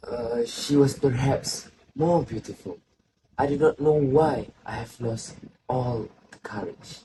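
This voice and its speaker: A man's voice: medium pitch, slow pace, close to an everyday voice. Guy playing hesitant disheartened man in student play, bit far from the mic, noisy. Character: hesitant man who has lost his courage. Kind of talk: student stage-play acting. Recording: distant mic with clear background noise.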